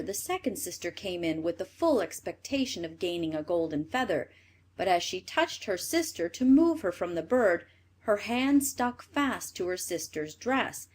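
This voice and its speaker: A woman reading a fairy tale for children as an expressive storyteller. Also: medium pitch, husky. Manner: expressive read-aloud delivery.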